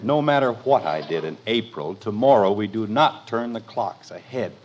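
Blustering radio sitcom dad, swooping pitch. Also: male voice, animated, moderate pace, lo-fi sound. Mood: flustered, exasperated. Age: middle-aged. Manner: grumbling, argumentative, emphatic. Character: exasperated, stubborn, blustering family father. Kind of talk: 1940s-50s radio sitcom acting. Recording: noisy old radio recording.

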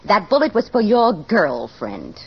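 A woman's voice: medium pitch, sing-song, unhurried, breathy edge, full character voice. A woman playing a temperamental, jealous, menacing actress with biting sarcasm in 1940s radio melodrama style. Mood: angry. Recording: muffled, hissy old radio recording.

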